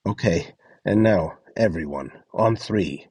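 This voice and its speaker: A man with a low-pitched, mellow voice narrates a scripted guide as host and instructor. His tone is a little husky and the sound is close and dry, with each step laid out plainly. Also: takes it slowly, no room sound, far from the mic. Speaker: host and instructor of a voice donation project.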